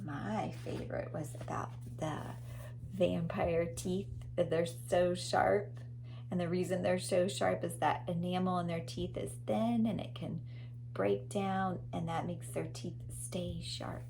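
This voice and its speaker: A woman's voice: fairly low pitch, fairly even intonation, unhurried, vocal fry, noisy background. A woman teacher reading a children's nonfiction book aloud expressively, addressing young listeners.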